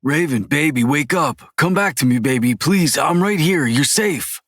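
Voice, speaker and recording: A woman voicing a tender, protective young man who soothes his partner in a soft, coaxing way. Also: deep voice, animated, normal speed, no echo, studio quality, voice pushed down. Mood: soothing.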